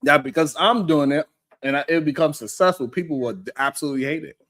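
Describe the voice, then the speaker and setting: A man's voice: fairly high pitch, fast talker, a bit bright, no room sound. A man hosting a live stream in casual chat, telling loose slangy stories and laughing often.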